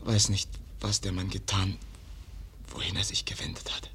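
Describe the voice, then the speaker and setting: An actor in a radio play voicing a weak, wounded young man who speaks in halting, breathy, pained phrases. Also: sits low, monotone, pushed and bright. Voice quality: breathy, whispering, strained voice. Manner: halting, short broken phrases. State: weak and in pain. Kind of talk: German radio play acting.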